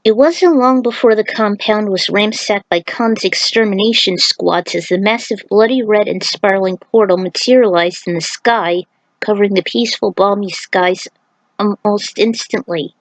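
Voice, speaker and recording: A woman's voice: mid-range voice, moderate pace, dry recording, poor audio. A woman reading an action fantasy story aloud as a narrator, in a dramatic scripted style.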